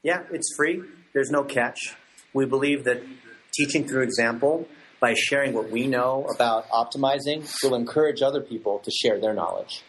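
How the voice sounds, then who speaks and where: Assured man pitching a marketing approach. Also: normal speed, fairly bright tone, a little hiss or hum, rough recording. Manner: assured, promotional, lists points. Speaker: guest, marketing expert. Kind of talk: confident explanation.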